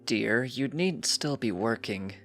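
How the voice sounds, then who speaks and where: A man's voice: mid-range voice, lively intonation, slow, fairly bright tone, faint music behind. A man voicing a distracted, breezy male unicorn scientist, a doting husband in a storybook reading.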